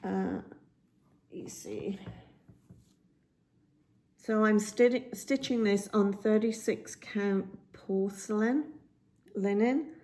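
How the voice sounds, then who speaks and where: Slow, croaky-voiced woman chatting about stitching. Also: mid-range voice, a little mellow. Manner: rambling, many ums and restarts. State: slightly croaky voice. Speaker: cross stitch vlogger. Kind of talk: casual show-and-tell chat. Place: indoors at home by a window. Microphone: phone camera.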